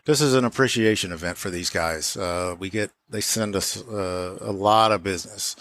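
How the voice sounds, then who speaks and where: A man's voice: sits low, normal speed, fairly bright tone. A man speaking as an auto shop owner and guest, explaining things informally in a casual interview.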